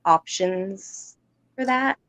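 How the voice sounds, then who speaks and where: A woman in her thirties with a very high, young-sounding voice, calling in to a livestream and talking softly and modestly. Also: drawn-out words, dry recording. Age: 30s. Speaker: caller on a livestream.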